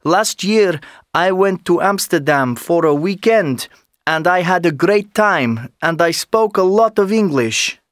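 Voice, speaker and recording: A man with a somewhat high voice explains his tip calmly. The studio sound is dry and close. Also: a little slow, dry recording. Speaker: learner giving a tip. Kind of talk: scripted textbook audio.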